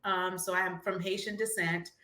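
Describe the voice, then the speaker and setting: A woman's voice: fairly low pitch, little pitch movement, normal speed, bright, forceful tone. A woman, answering interview questions in a friendly way with frequent filler words. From Brooklyn, New York. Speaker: guest, finance entrepreneur. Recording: echoey room.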